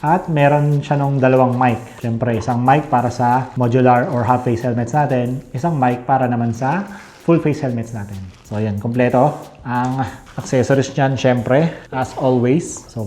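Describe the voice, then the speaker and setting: A man's voice: medium pitch, unhurried, dark tone. A man with a Filipino accent giving a casual, conversational product review as a channel host, often tagging his phrases with little check-in words. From Philippines. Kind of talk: casual product review and unboxing, mixing Tagalog and English.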